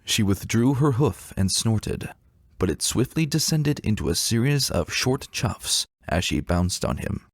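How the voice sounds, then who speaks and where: A man giving an expressive, dramatic narration of romantic fiction in a literary storytelling manner. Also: fairly low pitch, measured pace, creaky, no room sound, studio quality.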